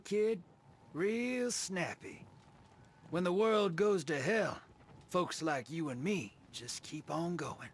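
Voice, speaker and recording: A man's voice: sits high, exaggerated voice. A man playing a folksy, wry roguish hustler in a video game, with a sly drawl. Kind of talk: scripted game dialogue.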